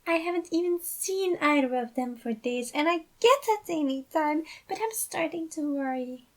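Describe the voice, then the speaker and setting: A gentle, worried fatherly man, acted by a woman, pitched well up. He speaks hesitantly and soft-heartedly, trying to stay positive as a storybook character. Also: animated, moderate pace. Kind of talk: acted dialogue in a fan fiction reading.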